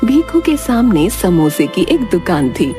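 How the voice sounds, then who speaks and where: A woman's voice: medium pitch, sing-song, measured pace. A woman reading scripted narration for a cartoon moral story. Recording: music behind the voice.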